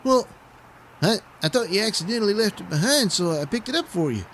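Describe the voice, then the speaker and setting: A man's voice: high voice, expressive, a little fast, a bit bright, slight background noise. A man voicing a naive, meek and flustered superhero sidekick in a comedic audio serial. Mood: confused, flustered.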